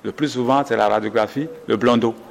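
A man's voice: mid-range voice, steady tone, vocal fry, big-room sound. A man, a doctor, patiently explaining symptoms in an interview, often restarting and correcting himself. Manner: measured, explanatory, with frequent restarts and self-corrections.

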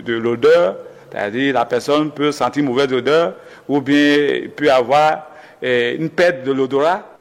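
A man's voice: mid-range voice, long reverb tail. A man, a doctor, patiently explaining symptoms in an interview, often restarting and correcting himself. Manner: measured, explanatory, with frequent restarts and self-corrections.

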